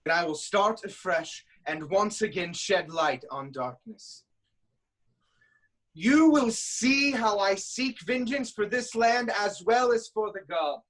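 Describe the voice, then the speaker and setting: A man playing a proud, commanding king of ancient Thebes in a staged reading of Greek tragedy, declaiming deliberately. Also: sits high, expressive, a bit bright, heavily acted, low-quality recording. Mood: resolute.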